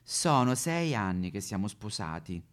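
Man playing a nagging, highly animated wife. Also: unhurried. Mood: earnest, reproachful. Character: needy, nagging wife and mother, sentimental, exasperated. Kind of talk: satirical one-man stage sketch.

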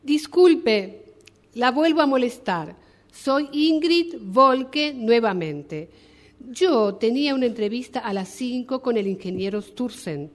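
A woman acts a polite job applicant in a radio play, hesitant and apologetic. Her voice is fairly high, and she speaks slowly and carefully into the phone. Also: animated. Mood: polite, anxious. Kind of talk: radio drama acting, one side of a phone call.

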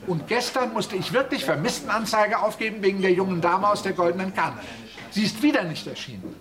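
An actor in a radio drama playing a harried tour guide who complains in a put-upon way. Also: male voice, high voice, expressive, fairly quick. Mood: plaintive, exasperated. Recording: busy crowd behind.

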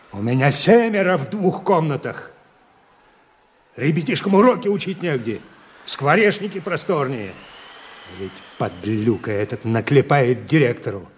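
Animated, folksy country working man. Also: mid-range voice, husky. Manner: folksy, colloquial country speech. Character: rural family man, working driver, plaintive and conciliatory. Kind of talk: Soviet radio play acting. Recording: noisy vintage radio drama recording.

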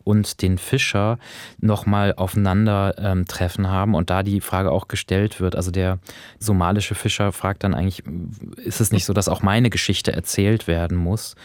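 A male podcast host with a low voice talks in a reflective, considered way about culture. The sound is very dry and close, and he feels his way through his phrasing. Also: level tone, moderate pace, darkish tone, no room sound. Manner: thoughtful, considered, searching phrasing.

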